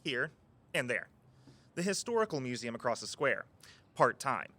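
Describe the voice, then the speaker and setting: An actor playing a playful, theatrical tour guide with a flowery turn of phrase in an audio drama. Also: male voice, medium pitch, sing-song, normal speed, pushed and bright. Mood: confiding. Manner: grandiose mock-archaic speech.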